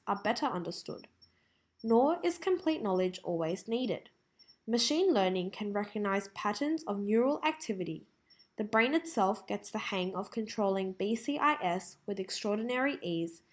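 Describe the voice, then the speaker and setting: Woman, Australian accent, medium pitch, scripted read-aloud, careful delivery, practice-passage reader.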